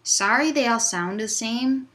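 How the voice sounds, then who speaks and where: A young woman chatting casually and cheerfully in a vlog, with a fairly low voice, recorded on a laptop webcam in a small dorm room. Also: unhurried, a bit bright. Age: young adult. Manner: upbeat, chatty, loose rambling. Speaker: vlogger. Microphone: laptop webcam at desk distance.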